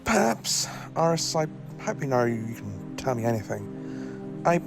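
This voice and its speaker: An actor playing a kindly, socially awkward alien professor who travels in time, speaking gently and hesitantly in an audio drama. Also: male voice, medium pitch, very animated, a little slow, gravelly, music in the background. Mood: gentle.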